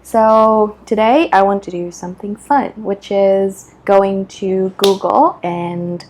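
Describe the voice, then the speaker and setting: A woman from Vietnam with a Vietnamese accent, chatting casually as she answers questions in halting, thoughtful bits with short punchy answers. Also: mid-range voice, fairly even intonation, a little mellow. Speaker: video creator answering questions. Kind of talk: casual chat to camera.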